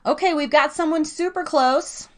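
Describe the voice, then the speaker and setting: A woman hosting a live trivia game in a casual, chatty, excitable and playful way, drawing out words for emphasis and talking to her listeners. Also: high voice, normal speed.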